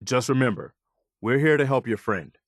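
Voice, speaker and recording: An actor playing an earnest, dutiful, plainspoken militia lieutenant in a post-apocalyptic video game. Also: male voice, mid-range voice, expressive, moderate pace, dry recording, studio quality, close to an everyday voice. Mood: earnest, concerned. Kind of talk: video game character dialogue.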